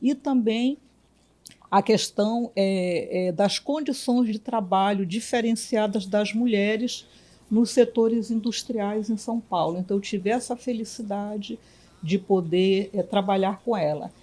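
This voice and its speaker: A woman from Belém, a physician and union director, answering interview questions in a reflective way with many pauses and restarts. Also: mid-range voice, warm tone. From Belém, Brazil.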